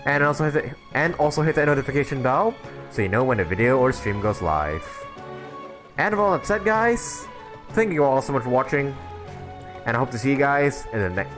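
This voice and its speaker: A man's voice: mid-range voice, lively intonation, fairly quick, music in the background. A young man giving casual live stream commentary on a game, informal and thinking aloud as he plays.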